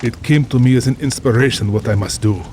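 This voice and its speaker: An actor playing an urbane, cold-blooded middle-aged general and big-game hunter, speaking formally with pompous, suave amusement in an audio drama. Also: male voice, mid-range voice, fast talker, mellow, slight background noise, natural voice. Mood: suave, boastful.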